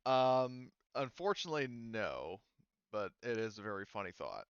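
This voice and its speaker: Male game master, clear crisp low voice, off-the-cuff casual delivery. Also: sing-song, moderate pace, no echo, lo-fi sound. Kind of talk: casual tabletop game talk.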